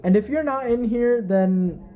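A young man playing a caring best friend at a party in an ASMR roleplay, talking casually in a halting, rambling way. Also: extremely high pitch, narrow, tinny audio. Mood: searching, relieved. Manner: halting, rambling, breathy chuckles. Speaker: roleplay audio performer.

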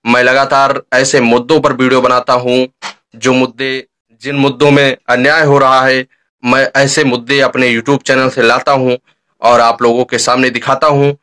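A man's voice: medium pitch, level tone, normal speed, bright, forceful tone. A young man presenting a vlog introduction to camera as host, recorded indoors on a phone. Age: young adult. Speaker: YouTube vlogger, host. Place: dark room indoors.

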